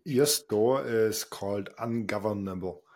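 Low-voiced Austrian man hosting. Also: measured pace, darkish tone. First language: not English. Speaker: podcast host. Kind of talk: casual interview chat.

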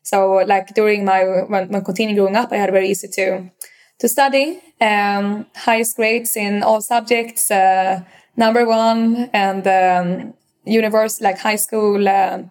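A young woman with a Swedish accent, speaking in a middle pitch. She answers interview questions as a startup founder, pausing often and restarting her sentences. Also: steady tone, a little mellow. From Sweden. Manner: hesitant, many fillers and restarts.

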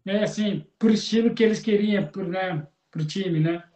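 A man hosting a podcast, chatting in a relaxed, slangy way and laughing easily. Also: sits high, normal speed, roomy sound. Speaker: podcast host. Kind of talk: casual interview chat.

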